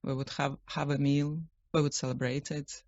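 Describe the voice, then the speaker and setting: A woman with a Ukrainian accent speaking in halting, simple English as an interview guest. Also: deep voice, little pitch movement, darkish tone, no room sound, highs cut off. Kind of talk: hesitant conversational interview. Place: radio studio.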